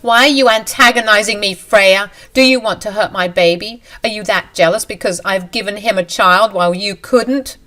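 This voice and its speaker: A woman reading a story aloud, voicing a manipulative young mistress who sounds shrill, accusing and self-pitying. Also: expressive, normal speed, fairly bright tone, soft background music, close to an everyday voice. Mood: indignant.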